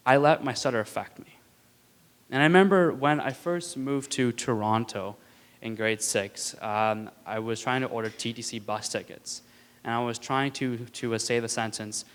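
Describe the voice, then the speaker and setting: A man's voice: mid-range voice, clear, resonant tone. A young man in his twenties from India gives an earnest student talk on stage and stutters audibly, repeating words and sounds, with light jokes for the audience. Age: 20s. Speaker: student speaker. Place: auditorium stage. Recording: long hall reverb.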